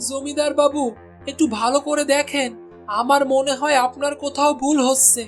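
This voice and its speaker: A man voicing a weary, soft-hearted poor village husband in a cartoon, plain-spoken and deferential. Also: very high voice, level tone, normal speed, soft background music. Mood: protesting. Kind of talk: cartoon voice acting.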